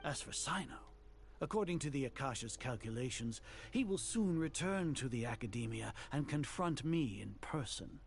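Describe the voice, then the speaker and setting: Pompous, haughty older sage. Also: male voice, mid-range voice, lively intonation, creaky. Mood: cold, condescending. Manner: pompous, formal, deliberate diction. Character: haughty, fanatical older male grand sage, coldly scheming. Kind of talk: dramatic video game voice acting. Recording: game dialogue over music.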